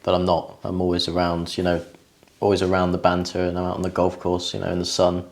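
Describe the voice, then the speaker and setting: A young man chats in an easygoing, agreeable way. His voice sits very low and has a gravelly fry to it. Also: completely flat delivery, fast, a little mellow. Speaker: camera operator and editor. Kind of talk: casual chat.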